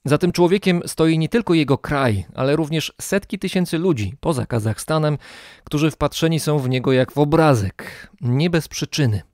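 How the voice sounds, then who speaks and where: A man's voice: fairly high pitch, normal speed, darkish tone, no echo, clean studio sound. A man narrating and introducing as a podcast host in a scripted style.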